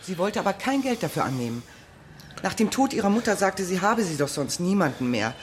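A woman's voice: fairly low pitch, very animated, brisk. A young mother confides anxiously in a radio crime play. Her talkative voice moves up and down a lot, with faint noise behind it. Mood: confiding. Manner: confiding, worried. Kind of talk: radio drama acting.

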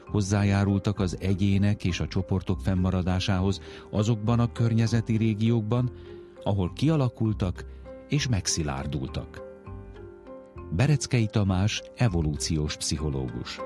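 Low-voiced male radio presenter over music. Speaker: radio host. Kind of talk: scripted radio narration.

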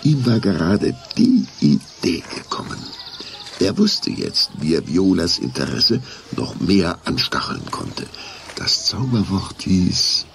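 A man's voice: sits low, sing-song, slow, gravelly, fairly bright tone, slight background noise, exaggerated voice. A man narrating a scripted children's radio play with suspenseful storytelling.

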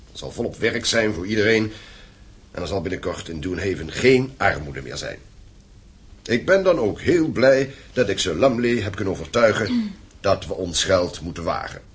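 A man's voice: low-pitched, slightly rough, full character voice. An actor playing a stern, domineering landowning father in a radio play, commanding and formal.

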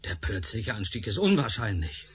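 An actor playing an imperious, impatient male research director in a German radio drama, commanding and curt, with a husky voice. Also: lowish voice, sing-song, normal speed, over a noisy crowd. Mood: irritated, commanding. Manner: commanding, curt, cuts others off.

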